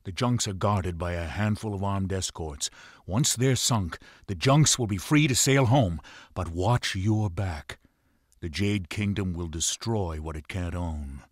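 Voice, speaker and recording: A shrewd pirate boss giving orders in a game, low voice, measured and menacing, super dry sound. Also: male voice, no echo. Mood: stern. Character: commanding, shrewd male pirate lord. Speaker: video game character giving mission briefings. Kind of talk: dramatic game cutscene acting.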